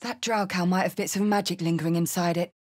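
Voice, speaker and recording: A battle-hardened tiefling barbarian woman, voiced by an actress, speaks in a fairly low register. She is blunt, salty and brash, in the manner of a fantasy video game companion who has fought her way out of the hells. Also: steady tone, quick pace, a little mellow, close to an everyday voice. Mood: guarded. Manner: blunt, earthy, salty-tongued. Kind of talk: video game character voice lines.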